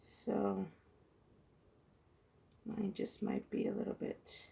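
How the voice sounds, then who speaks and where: A woman with a hoarse, froggy voice chatting softly as she pours and tilts acrylic paint, recorded on a phone mounted above her table. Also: mid-range voice, monotone, takes it slowly. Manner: soft-spoken, chatty, muttering while working. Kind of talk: casual narration while painting. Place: indoor craft table. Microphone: phone held high above the table.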